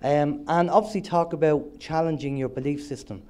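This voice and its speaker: An Irish man with a cold gives an upbeat, joking stage talk, his voice a bit higher than most men's and nasal. His tone is soft and mellow rather than bright. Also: fairly even intonation, moderate pace. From Ireland. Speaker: co-host of an internet radio show, conference speaker. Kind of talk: presenting on stage to a live audience. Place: conference hall on stage.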